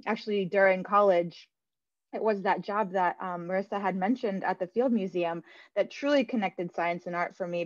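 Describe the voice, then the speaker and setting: A woman's voice: lowish voice, no room sound. A woman, telling her own story casually as a panelist on a video call. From born in Saint Petersburg, Russia, moved to America. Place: small room at home. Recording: slightly dull, dry video-call audio.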